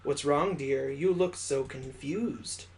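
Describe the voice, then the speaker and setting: A man's voice: medium pitch, slow pace, slight background noise. A man voicing a talking teapot lady from a visual novel, motherly yet frank and brusque. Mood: teasing, familiar. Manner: addresses listener as dear, frank.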